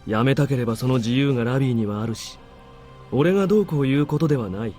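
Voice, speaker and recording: A man acts a male army major in a visual novel, blunt and wryly dismissive. His delivery is very rapid and his tone soft-edged and dark, with music playing underneath. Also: mid-range voice, close to an everyday voice. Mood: cool, firm. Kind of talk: Japanese visual novel voice acting.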